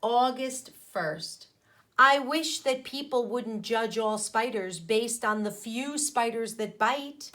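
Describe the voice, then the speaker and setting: Slow-reading woman teacher with a higher voice. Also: pushed and bright. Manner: deliberate, pausing between phrases, addresses pupils with questions. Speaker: primary school teacher. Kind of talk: read-aloud of a picture book for children with teaching commentary.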